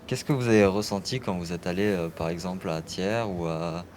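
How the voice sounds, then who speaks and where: A man with a very deep voice asks polite questions, hesitating and restarting as he goes. Clear background noise surrounds him. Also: steady tone, fairly quick, a little mellow. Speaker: citizen reporter interviewer. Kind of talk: interview questions.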